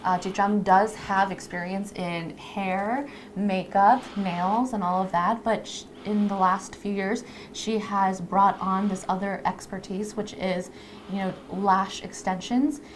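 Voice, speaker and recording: A woman's voice: medium pitch, steady tone, measured pace. A woman casually co-hosting a live stream, explaining things to the audience in a chatty, conversational way. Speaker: live stream co-host introducing a lash artist. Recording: clear background noise.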